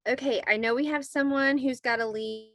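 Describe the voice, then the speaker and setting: A woman's voice: high-pitched, fairly even intonation, moderate pace, no echo, clean studio sound. A woman leading an online committee meeting, talking in a semi-formal, conversational way as facilitator. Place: video call.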